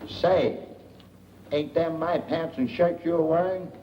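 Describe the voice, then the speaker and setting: An actor playing a folksy, nosy, grasping boarder in a 1940s film, blunt and colloquial. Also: male voice, on the high side, slow. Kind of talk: 1940s film comic acting. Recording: muffled old film soundtrack, faint hiss.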